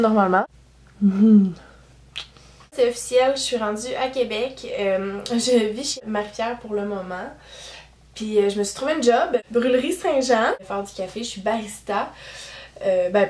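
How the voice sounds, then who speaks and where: Young woman, 18, Québécois French accent, chatty vlog, fairly high voice, roomy echo. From Quebec. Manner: chatty, candid, lots of fillers. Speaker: YouTuber. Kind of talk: casual vlog chat. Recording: roomy echo, camera at a distance.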